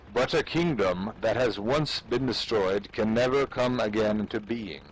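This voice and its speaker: A man giving a theatrical, portentous dramatic reading of an ancient military treatise, with playful asides. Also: fairly low pitch. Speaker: amateur reader performing for a live audience of gamers. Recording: clearly noisy background.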